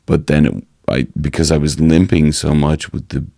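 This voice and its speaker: Hoarse guy with a super low gravelly voice, rambling vlog chat, dry close sound. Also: steady tone, moderate pace, mellow, dry recording. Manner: rambling, many restarts and fillers. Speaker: singing coach and vlogger. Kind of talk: casual health update vlog.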